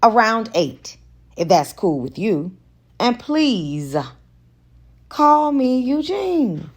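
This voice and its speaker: Confident romantic lead, a married businessman read by a woman, smooth and teasing, unhurried. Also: fairly high pitch, big pitch swings, pitched above the performer's own voice, heavily acted.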